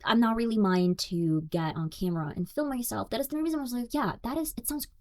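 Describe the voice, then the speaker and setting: A woman's voice: a little high, fast talker, a little mellow. A young woman with a Russian accent, her native language being Russian, hosting her own podcast and speaking candidly and reflectively in a self-deprecating way. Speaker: podcast host. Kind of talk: solo podcast monologue.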